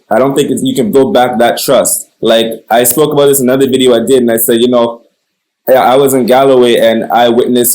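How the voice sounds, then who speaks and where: A man's voice: medium pitch, darkish tone, low-quality recording. A man interviewing conversationally, feeling his way through questions and rephrasing as he goes. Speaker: interviewer, host.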